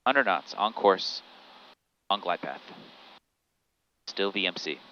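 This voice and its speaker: A man's voice: low voice, lively intonation, slow, sharp tone. A man piloting solo, giving methodical, terse cockpit commentary with repeated checklist callouts, over an aviation headset. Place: small airplane cockpit in flight. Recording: muffled aviation headset audio, noisy cockpit.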